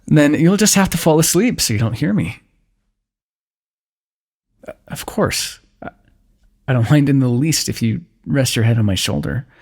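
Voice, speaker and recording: A man performing an intimate fantasy roleplay for ASMR, speaking softly in a teasing, affectionate way. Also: medium pitch, expressive, measured pace, long pauses. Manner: soft, teasing and affectionate, with frequent light laughs.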